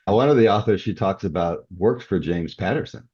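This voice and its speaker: A man speaking as a guest author in a relaxed interview, explaining things through examples. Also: fairly low pitch, normal speed, slightly rough, dry recording. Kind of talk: relaxed interview conversation about writing. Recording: dull, muffled lo-fi audio.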